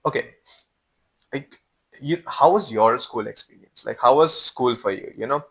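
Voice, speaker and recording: A man's voice: mid-range voice, unhurried, vocal fry, a bit bright, breathy edge. A man in his early twenties with an Indian accent, chatting casually as a podcast guest in informal, slangy speech. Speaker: podcast guest, former exchange student.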